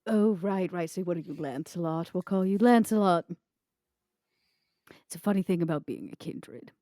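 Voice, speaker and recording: A woman playing a mocking, coolly sadistic vampire interrogator, making deliberate, sardonic threats in a tabletop game. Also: on the low side, dark tone, dry recording, clean studio sound, lightly acted. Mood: menacing, sardonic. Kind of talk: tabletop role-play.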